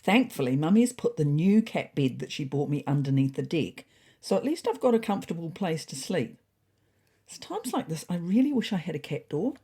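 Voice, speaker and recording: Woman as an anxious cat, mellow and expressive. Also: sits low, fairly quick, slightly rough, heavily acted. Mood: wistful worry. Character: anxious, earnest pet cat confiding in a diary. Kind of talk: first-person diary narration.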